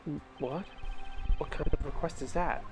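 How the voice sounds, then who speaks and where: A man's voice: fairly high pitch, moderate pace, noisy background, close to an everyday voice. A man dubbing a flustered young male defense lawyer in a game, stammering often. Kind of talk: fan dub of a game.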